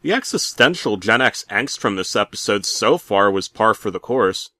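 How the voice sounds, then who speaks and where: A man playing a bitter middle-aged working dad who narrates a comic horror story with theatrical, aggrieved deadpan. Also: on the low side, normal speed, dry recording, clean studio sound. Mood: alarmed. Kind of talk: comedic creepypasta narration.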